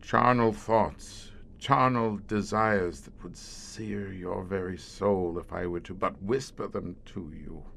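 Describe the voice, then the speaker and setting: A man's voice: fairly low pitch, vocal fry, a bit bright, full character voice. An actor playing a haunted older police inspector in a radio horror drama, brooding and theatrical in his delivery. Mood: tormented, dreading. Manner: slow, brooding, grandiose and theatrical. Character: haunted, doomed older male tunnel police inspector, slowly turning monstrous.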